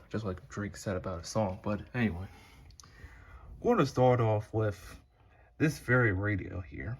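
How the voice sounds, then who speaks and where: A man giving a casual, unscripted showcase narration in a halting, meandering, explanatory way. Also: lowish voice, slightly rough. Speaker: hobbyist video creator. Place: indoors at home beside a shelf of radios. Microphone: handheld phone behind the camera.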